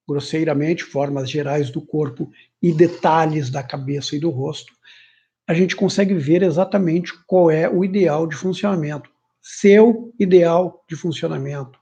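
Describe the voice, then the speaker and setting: A man giving an informal, animated self-development lecture, sometimes mimicking complaints in a higher voice. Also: vocal fry. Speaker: personal development teacher. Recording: muffled lo-fi audio.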